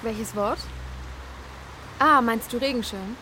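Guarded young student, fairly high voice. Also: female voice, slow, sharp tone, rough recording. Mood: puzzled, wary. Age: young adult. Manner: reserved, brief answers. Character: guarded, puzzled, obliging young female student. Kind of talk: radio drama acting. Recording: faint rainy street noise.

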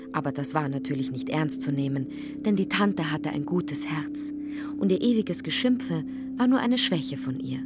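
Lower-pitched female narrator over music. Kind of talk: scripted literary radio play narration.

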